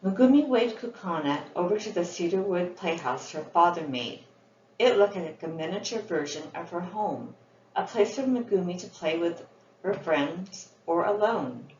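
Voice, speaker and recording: A woman's voice: lowish voice, expressive, normal speed. A woman, a children's author, reads scripted storybook narration aloud. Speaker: children's author reading her book. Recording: roomy, muffled, with faint background noise.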